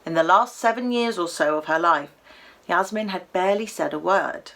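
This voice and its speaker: A British woman reading a children's story aloud expressively, with animated delivery and dramatic pauses. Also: lowish voice.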